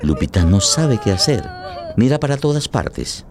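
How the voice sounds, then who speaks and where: A solemn narrator of a tragic radio drama. His voice sits fairly low and moves expressively through each deliberate phrase, with light guitar music behind it. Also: sing-song, darkish tone. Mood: grave, tense.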